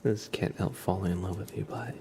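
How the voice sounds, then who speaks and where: A man with a congested, stuffy nose chats casually with viewers as an ASMR livestream host, hushed and often whispery. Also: sits low, fairly even intonation, a little fast, vocal fry, heavy background noise.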